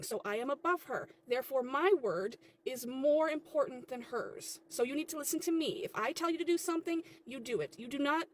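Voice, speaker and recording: A woman plays a stern female general manager in a skit. The voice is high, the delivery officious, measured and condescending, with audible noise in the recording.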